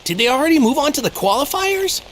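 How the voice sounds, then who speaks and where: A woman's voice: sits high, very animated, fast, a little hiss or hum. A woman voicing a cheerful, naive young male martial artist in an anime game. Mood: earnest, cheerful. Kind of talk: English anime game voice acting.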